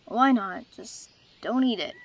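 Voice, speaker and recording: A woman's voice: on the high side, lively intonation, a little slow, background noise. A woman playing a proud, wounded young female dragon in a fantasy dub, dry, guarded and sarcastic. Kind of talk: dubbed fantasy drama acting.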